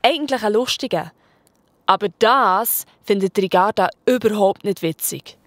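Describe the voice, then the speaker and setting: A woman's voice: mid-range voice, normal speed, no echo, clean studio sound. A woman with a Swiss accent narrating a children's story in a colloquial style.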